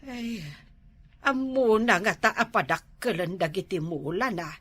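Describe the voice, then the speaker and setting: A woman's voice: mid-range voice, expressive, unhurried, a bit bright, slightly rough, dry recording. A woman with an Ilocano accent playing a fretful mother, scolding yet indulgent toward grown sons, in a comedy drama.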